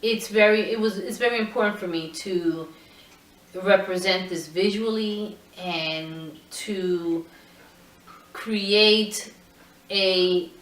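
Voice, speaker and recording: A middle-aged woman from Puerto Rico, a photographer answering interview questions in a thoughtful, halting way with many restarts. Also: mid-range voice. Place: indoor room with her camera collection. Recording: roomy sound, mic at a distance.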